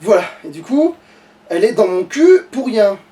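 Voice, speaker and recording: A man's voice: high voice, very animated, normal speed, mellow. A man giving casual, crude, grumbling commentary as he plays a video game. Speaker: let's player playing a video game. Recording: distant mic, music behind.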